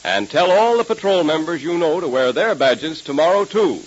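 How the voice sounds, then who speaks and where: A man announcing a 1930s radio show and pitching its sponsor with emphatic delivery. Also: big pitch swings, moderate pace, breathy edge. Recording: muffled, hissy 1930s radio recording.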